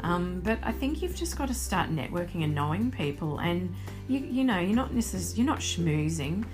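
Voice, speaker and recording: A woman with an Australian accent and a fairly low voice co-hosts a podcast. She talks casually but with enthusiasm and stresses her points. Music plays clearly behind her. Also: big pitch swings, moderate pace. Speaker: podcast co-host. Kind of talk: casual chat.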